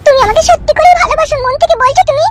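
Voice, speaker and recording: A very high, squeaky cartoon wife, scheming and seductive as she coaxes her lover. She rattles her lines off at breakneck speed. Loud music plays behind her. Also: very fast. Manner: coaxing, wheedling, then commanding. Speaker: cartoon character. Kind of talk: Bengali cartoon comedy dubbing.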